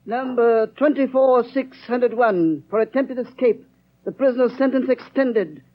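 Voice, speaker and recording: Prison official, cold and clipped, strikingly high pitch, formal proclamations, 1930s radio broadcast sound. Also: male voice, measured pace, warm tone, a little hiss or hum, rough recording. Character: cold, rigid prison official reading out sentences. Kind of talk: 1930s radio drama acting.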